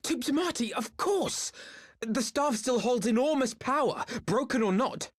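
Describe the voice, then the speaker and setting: A woman playing an earnest, learned noble youth in a video game cutscene, articulate and excitable. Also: fairly high pitch, unhurried, slightly rough. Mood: realization.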